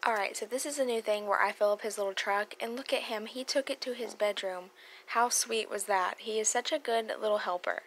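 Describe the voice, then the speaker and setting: A woman's voice: mid-range voice, fairly quick, fairly bright tone, slight background noise, poor audio. A young woman, a cleaning vlogger, casually talking over her footage and explaining what she is doing around the house. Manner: chatty, explains as she goes.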